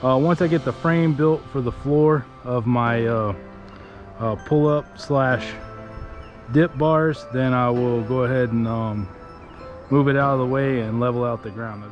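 Relaxed man hosting a DIY vlog. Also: mid-range voice, a little slow, lo-fi sound. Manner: relaxed, many "uh" fillers, addresses viewers as guys. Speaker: DIY channel host. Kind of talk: casual DIY vlog talk. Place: outdoors in a fenced backyard. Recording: music under the voice.

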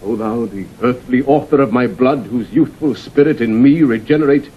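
A man's voice: mid-range voice, normal speed, muffled recording, slight background noise, natural voice. A man as a bold young noble challenger. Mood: confident, fervent. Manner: ringing, fervent declamation. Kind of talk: classical Shakespearean audio drama acting.

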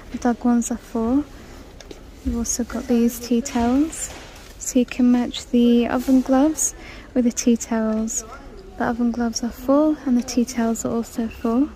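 Female vlogger, flat-ish delivery, reading prices aloud while filming in a store. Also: on the high side, rough recording. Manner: chatty, reads out prices. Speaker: shopping vlogger. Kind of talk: casual shop-with-me narration. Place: large indoor discount store. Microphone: handheld phone camera.

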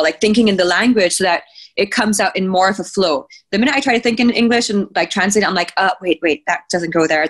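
Chatty woman from Sri Lanka on a video call. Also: medium pitch. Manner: chatty, restarts often, animated. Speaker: podcast guest. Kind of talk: casual chat. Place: home room, indoors. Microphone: video call with headphones.

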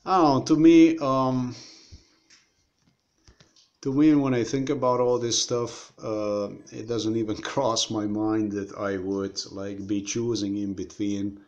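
A man's voice: fairly low pitch, flat delivery, takes it slowly, a little mellow, dull audio. A man in his thirties rambling through a screen-share vlog, repeating himself and talking straight to viewers from a room. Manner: meandering, repeats himself, addresses viewers directly. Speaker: vlogger.

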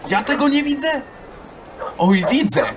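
A man's voice: extremely high pitch, expressive, unhurried, background noise. An actor playing a bitter, argumentative young townsman in an audio drama. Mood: indignant. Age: young adult.

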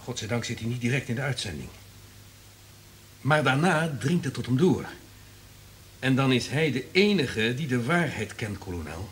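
An actor playing a wary, calculating subordinate in a radio play, deferential but insistent. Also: male voice, medium pitch, animated, normal speed, pauses often, a little hiss or hum, natural voice.

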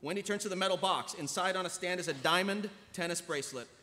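A male narrator reads script directions at a rapid clip. His voice is high and forceful, carried over a reverberant hall PA. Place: large convention hall panel stage. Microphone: podium microphone. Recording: echoey convention hall PA.

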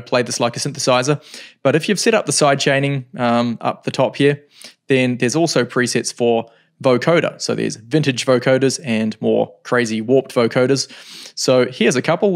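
A man in his thirties teaching music software in a home studio, explaining calmly with frequent ums. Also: mid-range voice. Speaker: music production tutor. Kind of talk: screen-recorded software tutorial.

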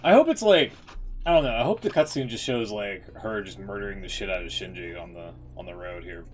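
A man's voice: on the low side, expressive, brisk. A man streaming a game, giving casual, irreverent, joking reaction commentary.

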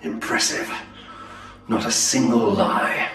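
An actor playing a sly, treacherous alien elf spymaster in a video game, speaking in a measured, silky, mocking voice. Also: male voice, lowish voice, fairly bright tone. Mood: haughty, amused. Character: sly, treacherous, smooth-tongued male Eldar spymaster. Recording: cavernous echo, long reverb, music behind.

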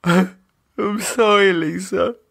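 A male narrator performs a drunken, troubled man in a horror audiobook. The words come slurred and halting, rambling in circles, in a raised, distraught voice. The sound is close and dry. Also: high voice, fairly even intonation, vocal fry, fairly bright tone, dry recording, voice pushed up, exaggerated voice. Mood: tearful, guilty. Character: drunken, confused, distraught man, guilt-ridden and tearful.

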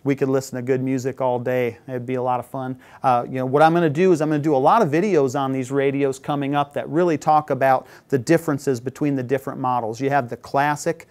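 A man's voice: medium pitch, fairly even intonation, fast, few pauses, a little mellow. A man in his forties, a shop tech, giving a casual product demo in a parts shop, upbeat with lots of "you know" fillers. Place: shop set with shelves of parts.

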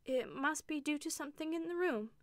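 A woman's voice: a little high, very animated, fairly quick, dry recording, studio quality. A woman voicing a frightened older woman who stammers in horror. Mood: scared. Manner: stammering, scared.

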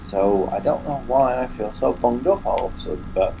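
A man's voice: medium pitch, moderate pace, creaky, warm tone, a little hiss or hum, rough recording. An English man commentating a horror game, muttering and grumbling to himself, with a stuffy nose and hiccups. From England. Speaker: gaming YouTuber. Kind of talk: casual let's play commentary.

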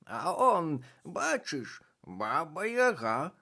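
Reader doing a croaking talking raven. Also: mid-range voice, big pitch swings, takes it slowly, sharp tone, pitched above the performer's own voice, exaggerated voice. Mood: eager.

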